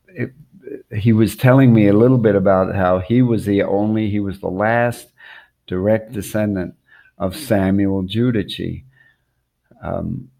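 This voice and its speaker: An older man telling a personal travel story about his family, unscripted and reflective, halting and repeating words as he goes. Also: low voice, gravelly, mellow. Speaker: storyteller recounting a family-history trip.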